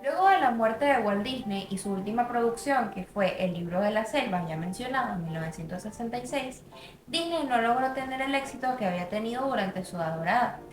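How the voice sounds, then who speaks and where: High-voiced woman narrating in an echoey room. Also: expressive, a little fast, faint music behind. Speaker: narrator of a student video essay. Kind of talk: scripted narration.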